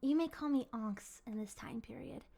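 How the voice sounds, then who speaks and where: A woman playing a possessive, lovestruck vampire in an ASMR roleplay, speaking in formal, ornate, pleading phrases that often slip into breathy whispers. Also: fairly high pitch, moderate pace, mellow. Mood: polite, apologetic. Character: obsessive, courtly, possessive female vampire in love.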